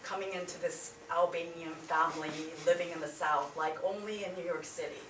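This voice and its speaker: A woman's voice: lowish voice, fairly even intonation, a little fast, noisy background. A woman from Japan, a stage actor, introducing herself in a giggly, hesitant way. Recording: echoey room from far off, crowd behind.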